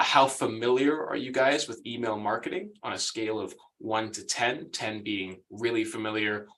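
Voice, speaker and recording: A young American man runs a webinar in a chatty, upbeat way and laughs easily. His voice is bright and forward at a middle pitch. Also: poor audio. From United States. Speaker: guest presenter. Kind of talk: webinar presentation.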